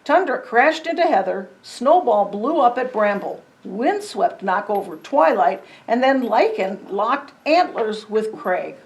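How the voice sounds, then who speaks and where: A woman's voice: a little high, expressive, measured pace, few pauses, muffled recording. Woman reading a children's story expressively. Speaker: storybook reader. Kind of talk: children's story read-aloud with chatty asides.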